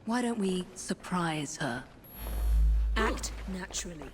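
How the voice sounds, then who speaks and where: A woman's voice: lowish voice, expressive, slow. A woman playing a determined Victorian assassin in a game cutscene, English accent, clipped and controlled, over music. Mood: quiet menace. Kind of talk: video game cutscene acting.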